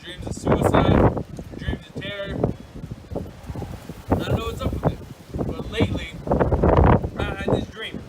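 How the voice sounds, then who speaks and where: A friendly, musing male drifter played by a man in an amateur drama, with a high-pitched voice and folksy, rambling phrasing. He is recorded outdoors from a distance, with heavy wind and clicks over the microphone. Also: wildly swooping pitch, unhurried, long pauses, exaggerated voice. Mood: troubled. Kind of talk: amateur scripted student drama. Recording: windy outdoor noise, clicks, distant mic.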